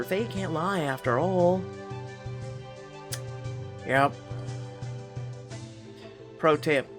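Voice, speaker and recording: A man's voice: fairly high pitch, a bit bright. A middle-aged man with a slightly tight throat, reading a story game aloud and rambling with wry asides and many fillers. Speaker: game channel host.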